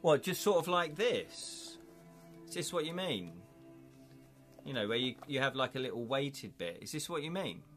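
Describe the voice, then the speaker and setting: English guy rambling on a home livestream, chuckly and excitable, mid pitch, bit of faint music behind. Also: moderate pace. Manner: chatty and excitable, chuckles often. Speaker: livestream host. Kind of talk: casual live-stream chat while unboxing.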